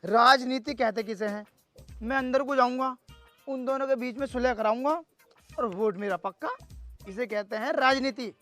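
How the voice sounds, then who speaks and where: Man acting a very high-pitched, blustering village politico. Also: normal speed. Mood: smug. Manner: loud, blustering, coarse-tongued. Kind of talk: comedy skit acting with slogan shouting. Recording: loud music under the voice.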